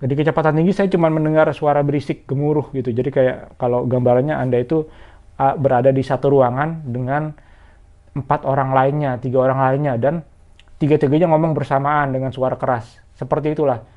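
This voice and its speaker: A man's voice: mid-range voice, fairly even intonation, brisk, lo-fi sound. A man talks viewers through a casual product review in a conversational, explanatory way. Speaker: motorcycle gear reviewer.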